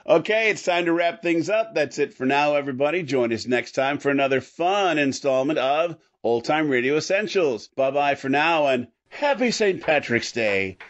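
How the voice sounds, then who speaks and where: A man's voice: a little high, expressive, normal speed, few pauses. Man hosting a podcast, slightly dull sound. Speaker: podcast host. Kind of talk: casual podcast chat.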